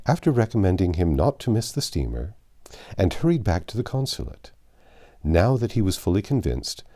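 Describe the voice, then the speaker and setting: Male narrator, low mellow voice, expressive classic storybook reading. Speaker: audiobook narrator.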